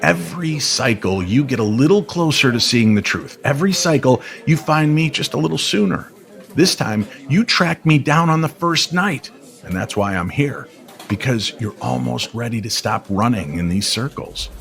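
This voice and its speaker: A man playing a weary, enigmatic stranger in a radio drama, speaking in a calm, measured way. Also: mid-range voice, creaky. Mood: gently solemn. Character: weary, cryptic male stranger who knows hidden truths. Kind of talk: old-time radio drama acting. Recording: crowd chatter behind the voice.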